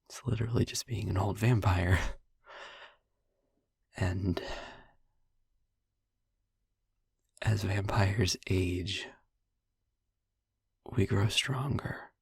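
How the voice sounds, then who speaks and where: A man playing a young vampire boyfriend in an intimate audio roleplay, haunted, tender and wry, speaking in a hushed, breathy, husky voice with long halting pauses. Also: fairly low pitch, no echo, studio quality, full character voice. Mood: quietly explaining.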